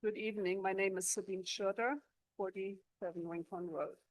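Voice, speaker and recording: A woman giving a formal public comment at a village council meeting, measured and composed. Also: mid-range voice, fairly even intonation, dry recording. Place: council chamber.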